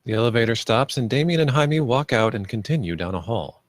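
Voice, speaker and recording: A man's voice: mid-range voice, animated, fairly quick, dry recording, studio quality. A man reading stage directions aloud as scripted narration.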